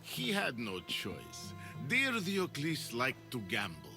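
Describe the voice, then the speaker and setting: Smug crime boss with swooping pitch. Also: male voice, wildly swooping pitch, slow, creaky, sharp tone, faint music behind, full character voice. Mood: smug taunting. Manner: mocking, taunting, theatrical. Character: smug, menacing male smuggling crime boss. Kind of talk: dramatic video game villain acting.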